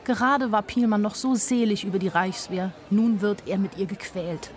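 A woman's voice: medium pitch, fairly even intonation, normal speed, lightly acted. An actress playing a naive, wryly observant young woman, narrating a radio drama in a dry, matter-of-fact way. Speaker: first-person narrator.

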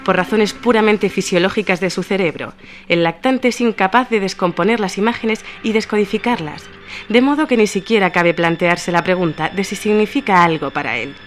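A woman acting as a composed, formal lecturer in scripted radio theatre, with a measured, didactic manner. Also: medium pitch, close to an everyday voice. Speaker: radio drama narrator. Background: music.

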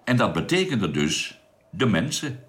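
A man playing a knowledgeable space crewman in a science fiction radio drama, speaking in a measured, explanatory way over background noise. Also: fairly low pitch, very animated, a bit bright.

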